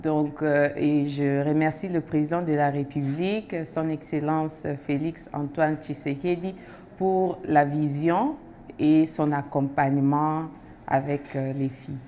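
Female guest, 30s, native German speaker, very low voice, slow hesitant answers. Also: fairly even intonation, a little hiss or hum. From Germany and Switzerland. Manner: soft-spoken, hesitant, brief answers. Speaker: foundation president, guest. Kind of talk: studio interview answers. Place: TV studio set.

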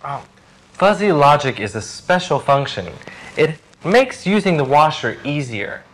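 A young man speaks in a slow, carefully paced scripted dialogue for English learners. His voice moves up and down a lot, and the studio recording carries a faint background hiss. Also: a little high, big pitch swings, a bit bright, poor audio. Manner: clear, deliberate delivery. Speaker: actor in English lesson dialogues.

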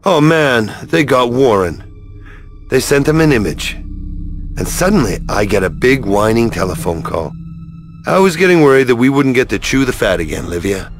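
A man's voice: mid-range voice, sing-song, normal speed, soft background music. An actor playing a cynical tough-guy hero in a sci-fi game, with a sarcastic, wry manner. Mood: bitterly sarcastic. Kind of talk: video game cutscene acting.

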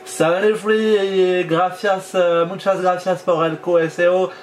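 A man with a French accent making a ham radio contact, speaking haltingly and deliberately as an amateur operator. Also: high voice, flat delivery, faint music behind. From France. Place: indoor home radio station.

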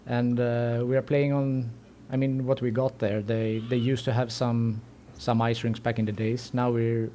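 A man with a Swedish accent answering casually and haltingly as a podcast guest. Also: medium pitch, level tone, mellow, slight background noise. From Sweden.

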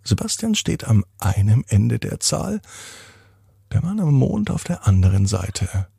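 A man narrating a bedtime story for children in a deliberate, expressive manner with drawn-out pauses. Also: lowish voice, vocal fry, slightly rough, dry recording, studio quality. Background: music.

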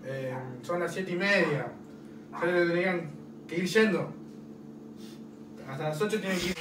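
A man's voice: high voice, measured pace. A man with an Argentine accent playing a nosy, chatty young soldier in a war dugout, in stage play dialogue. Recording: echoey distant room recording, clear noise.

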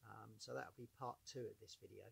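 Hoarse man, husky gravelly voice, coughing, rambling vlog chat, outdoor yard. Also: lowish voice, steady tone, a little fast. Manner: rambling, coughs often, many fillers. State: hoarse from bronchitis. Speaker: vlogger. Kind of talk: casual chat to camera. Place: outdoors in a sunny yard by a woodpile. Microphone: far camera on a tripod.

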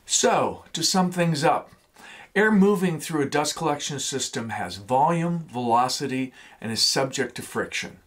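A man in his fifties, a woodworking instructor, giving a steady scripted explanation in a small home workshop. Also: fairly high pitch, unhurried. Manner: steady, explanatory, gestures while talking.

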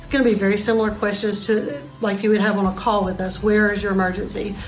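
A woman's voice: mid-range voice, little pitch movement, fast, poor audio. A middle-aged woman, giving an informational explanation as a dispatch supervisor. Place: small dispatch room indoors. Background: music.

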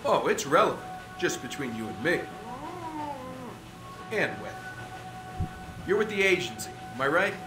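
An actor playing an unhinged, chatty rogue CIA operative in a video game, rambling with flippant, jokey menace. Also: male voice, on the high side, very animated, moderate pace, long pauses, echoey room, music in the background, poor audio. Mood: manic, breezy. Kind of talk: video game cutscene acting.

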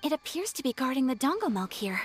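A woman playing a gentle, refined young noblewoman with polite, measured speech in a video game. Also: sits high, big pitch swings. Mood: curious. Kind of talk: English anime-style video game voice acting. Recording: soft game music behind.

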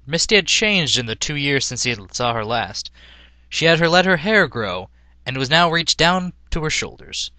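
A man reads a story aloud in a theatrical narrator's style. His voice is fairly high and bright, with very lively intonation. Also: no echo. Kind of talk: dramatic storybook-style reading of fan fiction.

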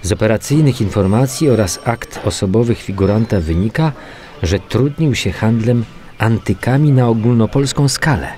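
An actor playing a matter-of-fact, weary male police investigator in a radio drama, muttering and repeating himself haltingly. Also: lowish voice, lively intonation, mellow, lightly acted. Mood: wry, businesslike. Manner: halting, muttering repetitions while reading out reports. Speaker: actor in an audio crime drama. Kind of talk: naturalistic radio drama dialogue.

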